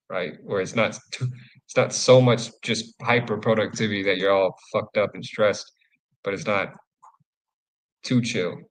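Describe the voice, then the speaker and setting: A man co-hosting a live men's group talk, laid-back and casual, swearing easily. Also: fairly low pitch. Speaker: co-host.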